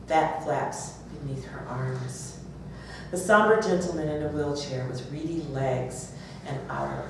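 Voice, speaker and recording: Woman author, low voice, live reading event, distant from the mic, noisy background. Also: heavy reverb. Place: bookstore, audience event.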